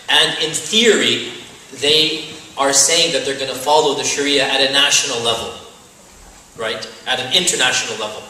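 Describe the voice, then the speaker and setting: A man's voice: mid-range voice, pushed and bright, distant mic, a little hiss or hum. An American man answering audience questions in a lecture-style Q&A, talking conversationally with frequent fillers and tag questions. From United States. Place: large echoey hall. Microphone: distant from the mic. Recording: echoey hall, speaker off-mic.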